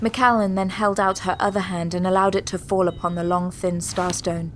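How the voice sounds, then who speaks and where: A woman's voice: on the low side, level tone, normal speed, slight background noise. A woman narrating a sci-fi audio drama in a scripted, dramatic style.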